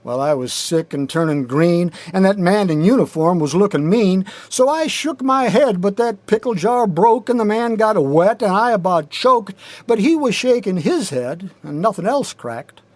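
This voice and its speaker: A man reading his own poetry aloud in a deliberate, rhythmic, dramatic spoken-word style, recorded in a studio. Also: sits high, animated, darkish tone, dry recording. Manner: deliberate, measured, rhythmic delivery with pauses. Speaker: poet reading own work.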